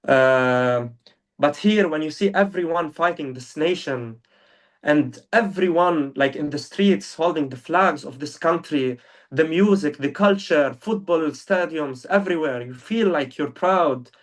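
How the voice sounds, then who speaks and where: A man's voice: a little high, far from the mic. Earnest young man, off the mic. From Lebanon. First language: Arabic. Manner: earnest, halting, many fillers and restarts. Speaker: guest. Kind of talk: casual interview talk. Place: now living in Germany.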